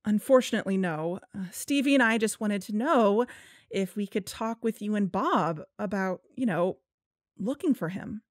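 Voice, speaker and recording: A woman's voice: medium pitch, expressive, normal speed, no echo, clean studio sound, close to an everyday voice. An actress playing an earnest, persuasive young woman who hosts an investigative podcast in an audio drama. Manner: earnest, coaxing. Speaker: podcast host. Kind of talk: fiction podcast narration and phone dialogue.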